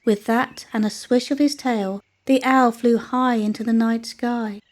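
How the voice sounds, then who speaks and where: A woman's voice: on the high side, steady tone, measured pace, darkish tone. A woman narrating a children's story as a scripted storyteller.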